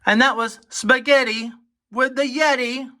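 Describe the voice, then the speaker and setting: High, very bright male storybook reader. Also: fairly even intonation, unhurried. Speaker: storybook reader on a children's channel. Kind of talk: children's picture-book read-aloud.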